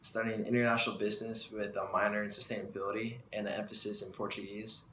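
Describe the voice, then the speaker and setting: Young American male student, low-pitched with little variation, video statement in an echoey, slightly noisy space. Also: little pitch movement, fast talker, sharp tone. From United States. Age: young adult. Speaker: student speaker. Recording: roomy echo, faint background noise.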